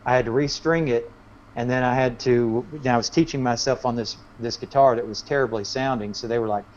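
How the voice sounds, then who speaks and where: A man's voice: mid-range voice, steady tone, brisk, a little hiss or hum. A man chatting casually as a musician guest in an interview, telling anecdotes in a rambling way. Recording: dull, lo-fi audio.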